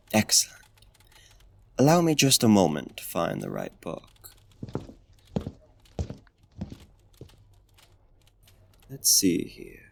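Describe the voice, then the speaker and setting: A man's voice: a bit bright, dry recording, exaggerated voice. A man playing a courtly, bookish, flirtatious vampire in an intimate ASMR roleplay, speaking with formal, genteel phrasing. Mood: refined, inviting. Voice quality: smooth, deep. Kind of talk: ASMR roleplay, intimate talk and poetry reading.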